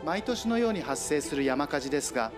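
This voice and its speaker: A man's voice: a little high, animated, a little fast. A man reading scripted narration for a television news report as its reporter. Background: background music.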